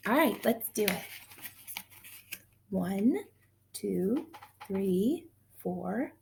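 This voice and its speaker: A woman's voice: mid-range voice, lively intonation, extremely slow, vocal fry, noisy background. A woman teaching young children in an encouraging, sing-song way, asking them questions as she counts, chants and tells simple stories.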